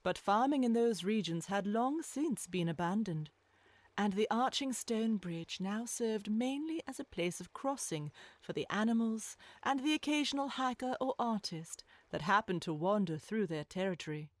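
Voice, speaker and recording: A woman's voice: medium pitch, lively intonation, normal speed, dry recording. A woman narrator reading a scripted animal story in storybook style.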